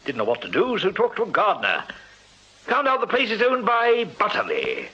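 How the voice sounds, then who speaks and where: A pompous old-school British major, bright and forceful, with pitch that swoops widely. He speaks in clipped, blustering bursts, as in a period radio drama. The recording is a little dull and noisy. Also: wildly swooping pitch, moderate pace, breathy edge, exaggerated voice. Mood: brisk reporting. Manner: clipped, blustering, telegraphic phrasing. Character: brisk, pompous retired army major turned investigator.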